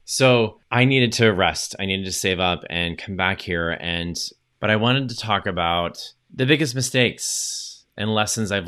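Deep-voiced man hosting a chatty podcast. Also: bright, forceful tone. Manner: upbeat, chatty, with fillers and self-corrections. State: recovering from lost voice. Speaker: podcast host and social media coach. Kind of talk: solo podcast monologue.

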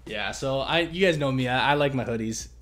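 A man hosting a casual question-and-answer chat on investing, talking in a relaxed, rambling way with many fillers and restarts. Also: medium pitch, fairly quick, background noise. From Canada. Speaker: investing channel host.

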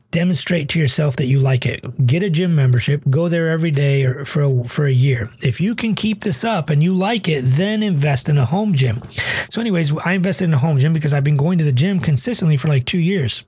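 A man's voice: on the high side, expressive, brisk, a little mellow, breathy edge, dry recording. A man in his forties hosting a casual solo podcast, chatty with many fillers and restarts. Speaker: podcast host, cardiologist.

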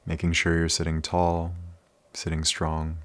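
A man leading a guided meditation in a calm, soothing, unhurried manner with many pauses. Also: low-pitched.

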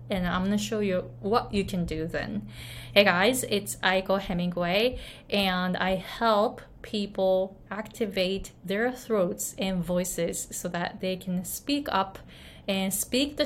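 A woman from Japan coaching viewers on their voices, speaking slowly in a mid-range pitch. She talks gently, pausing and restarting her sentences often. A faint hiss sits under the recording. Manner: gentle, halting with many restarts and fillers. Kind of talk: video lesson talking to camera.

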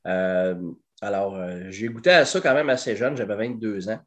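A man in his forties with a Québécois French accent, a guest talking about his barbecue company in a casual interview, fluent and lively with plenty of hesitations. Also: on the low side, normal speed. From Quebec. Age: 40s. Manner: animated, fluent, frequent "euh" and hesitations.

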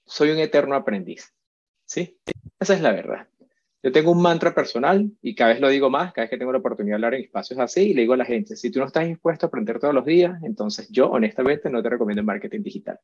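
A chatty man in his forties speaks as a guest in an interview. He often checks in with a quick question and restarts his phrases. The sound is dull and lo-fi, with a dry, close feel. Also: mid-range voice, lively intonation, darkish tone, no room sound. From Venezuela. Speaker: guest expert. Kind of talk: casual interview talk.

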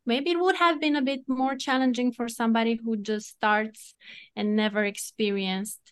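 A woman's voice: a little high, fairly even intonation, moderate pace, clear, resonant tone. A woman with a Romanian accent, a podcast guest, giving advice thoughtfully and laughing at herself now and then. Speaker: guest, entrepreneur and coauthor. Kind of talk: casual podcast conversation.

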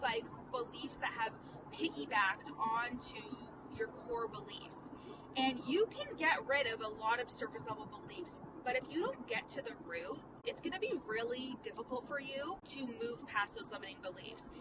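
A young woman hosting a casual monologue while driving, candid and quick, full of "like" and the odd curse. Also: high voice. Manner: candid, fast, peppered with "like" and profanity. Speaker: podcast host and coach. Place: inside a car. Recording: distant mic with road noise. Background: car and street noise.

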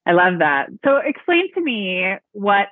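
A woman's voice: mid-range voice, big pitch swings, measured pace, no echo. A woman hosting a podcast, enthusiastic and gushing, often laughing. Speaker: podcast host and book publisher. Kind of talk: casual podcast interview. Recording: tinny phone-like call audio.

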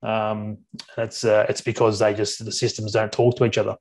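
An Australian man in his forties talks as a podcast guest in an even, flat tone. He speaks haltingly, repeating words and filling pauses with ums. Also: lowish voice. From Australia, Sydney. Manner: halting, with many restarts and ums. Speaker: podcast guest, workplace advisor. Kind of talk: casual interview talk.

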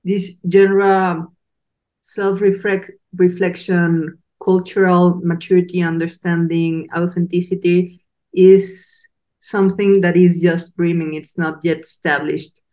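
A woman's voice: fairly low pitch, flat delivery, slow, mellow, highs cut off. A woman from Mexico with a Mexican Spanish accent, a native Spanish speaker hosting an interview and searching for her words with frequent laughs. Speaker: podcast host. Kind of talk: casual interview chat.

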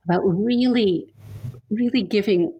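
A woman's voice: mid-range voice, a little slow, warm tone, a little hiss or hum. A woman interviewer talking casually and reflectively, searching for her words and often repeating them as she goes. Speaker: interviewer and yoga writer.

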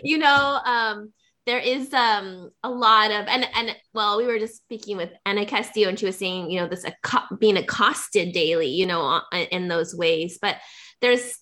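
A woman's voice: medium pitch, normal speed, sharp tone, slight background noise. Woman host, loose and searching delivery, many restarts. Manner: rambling, searching, laughs often. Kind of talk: conversational discussion.

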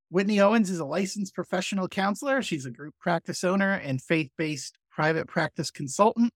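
A man's voice: sits high, no echo, clean studio sound. A man hosting a podcast interview, chatting in a friendly way and often restarting his sentences. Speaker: podcast host.

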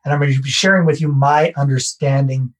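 A Canadian man, a real estate investor, talking through his process in a relaxed podcast interview and repeating his key points. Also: mid-range voice, a little fast. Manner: conversational, self-deprecating, repeats key phrases.

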